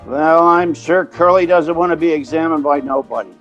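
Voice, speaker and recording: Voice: medium pitch, normal speed, lo-fi sound, faint music behind, lightly acted. A performer playing a brash, colloquial theatrical agent who narrates and acts in an old-time radio comedy.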